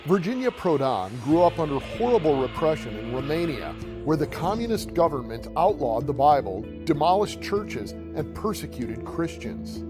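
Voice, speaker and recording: A man reporting in a measured, scripted voice-over for a news feature. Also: fairly high pitch, big pitch swings, music in the background.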